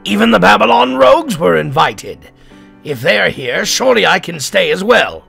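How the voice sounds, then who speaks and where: A man playing a surly, blustering mad doctor in an audio drama, with a raspy, gravelly voice. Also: high voice, big pitch swings, normal speed, a bit bright, soft background music, heavily acted. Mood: grumpy, puzzled. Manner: blustering, theatrical. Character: surly, demanding male mad doctor, easily alarmed. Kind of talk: amateur audio drama acting.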